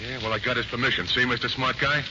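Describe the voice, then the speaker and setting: A man's voice: mid-range voice, fairly even intonation, quick pace, lightly acted. An actor playing a brash, cocky American serviceman, wisecracking and slangy, in a 1940s radio drama. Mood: cocky, breezy. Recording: noisy, muffled 1940s radio recording.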